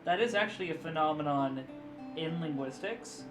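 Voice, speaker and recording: A man's voice: a little high, moderate pace. A man hosting a livestream in casual chat, who laughs often, teases his guest and explains things. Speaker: streamer, host. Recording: echoey room. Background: guitar music.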